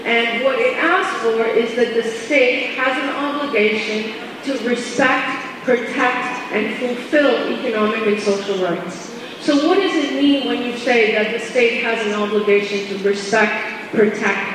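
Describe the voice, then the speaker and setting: A woman from India with an American Midwestern accent, giving an earnest, measured conference talk with fillers and restarts. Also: on the high side, crowd noise. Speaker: conference speaker. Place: large conference hall. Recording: echoey hall recording from far off, background noise.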